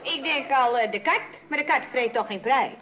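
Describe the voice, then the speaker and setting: An actress playing a feisty, plain-spoken housemaid in an old-fashioned radio comedy, with an indignant, folksy way of talking back. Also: female voice, sits high, lively intonation, normal speed, crowd noise. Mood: puzzled. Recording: dull, noisy radio recording with audience.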